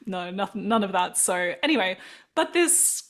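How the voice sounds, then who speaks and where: A woman's voice: medium pitch, lively intonation, normal speed, a bit bright. A woman hosting a podcast interview who chats casually, laughs often and lets her sentences trail off. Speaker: podcast host.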